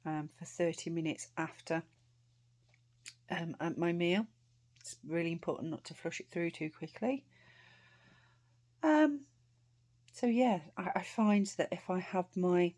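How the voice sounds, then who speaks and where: A British woman vlogging casually to camera, chatty and rambling, her voice a bit croaky. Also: on the low side, a little mellow. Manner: chatty, rambling with many fillers and restarts.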